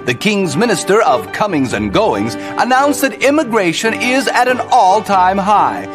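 A man, playing a pompous medieval news anchor in a theatrical, deadpan way. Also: sits high, measured pace, over music. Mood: arch, deadpan. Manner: theatrical, deadpan newsreader delivery. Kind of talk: parody newscast in a video game.

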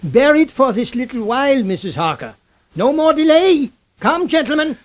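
A man's voice: very high-pitched, sing-song, moderate pace. An actor playing an elderly male vampire hunter professor, formal and urgent, in a 1970s radio drama. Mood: grave.

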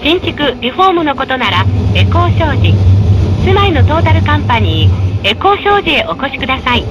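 A woman's voice: very animated, normal speed, muffled recording. A woman reading scripted advertising announcements on a tram in a polite, polished sales delivery. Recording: noisy in-vehicle recording with tram rumble. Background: tram running noise.

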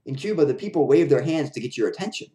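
Man as upbeat clown pal, pitched up. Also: animated, fast, dark tone, close to an everyday voice. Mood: reassuring. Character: upbeat, reassuring male circus clown friend. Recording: roomy echo of a bare room.

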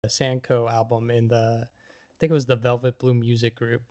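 A man's voice: medium pitch, flat delivery, normal speed, dark tone. Man, podcast host, mid-range voice, casual interview chat, somewhat lo-fi sound. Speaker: podcast host, small label owner.

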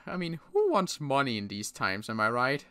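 Bright, clear-voiced young man roleplaying. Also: mid-range voice, wildly swooping pitch. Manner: halting, many restarts, protective older-survivor tone. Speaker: roleplay series player. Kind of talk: in-character roleplay chat.

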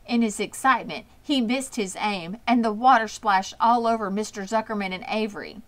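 A woman reading a children's storybook aloud as an expressive narrator. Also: mid-range voice, normal speed. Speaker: audiobook reader.

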